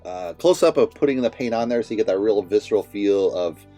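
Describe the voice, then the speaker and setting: A man's voice: lowish voice, lively intonation, brisk, warm tone, faint music behind. A man in his forties hosting a casual art reaction video from a small home studio, chatty and laughing often. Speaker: reaction channel host. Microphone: headphones on, webcam framing.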